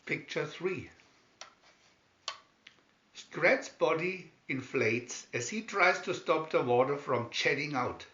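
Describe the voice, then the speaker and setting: A man narrates a picture storybook in a slightly high, very animated voice. He reads deliberately, pausing between short phrases. The recording is dull and roomy, with noticeable echo. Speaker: reader of picture-story booklets. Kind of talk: slow scripted storybook narration.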